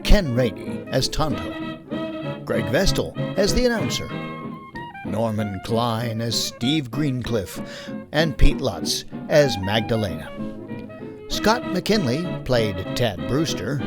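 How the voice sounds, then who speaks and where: A man's voice: medium pitch, huge pitch swings, slow pace. An American man hosting as producer, reading scripted closing credits in an announcer style. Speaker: producer and host. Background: music.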